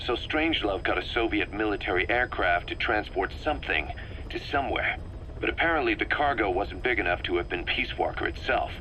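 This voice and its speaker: Casting: driven game officer, middle-aged, low but forceful and tense, clipped briefing delivery, faint tape noise. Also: male voice, lively intonation, a little fast. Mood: puzzled, briefing. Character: bitter, driven military second-in-command, vengeful and intense. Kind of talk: video game voice acting. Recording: faintly noisy cassette tape recording.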